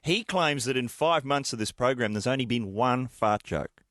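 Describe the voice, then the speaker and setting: A man's voice: medium pitch, expressive, moderate pace, a bit bright. A middle-aged Australian man, a radio host, telling wry stories in casual comedy chat. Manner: wry, storytelling.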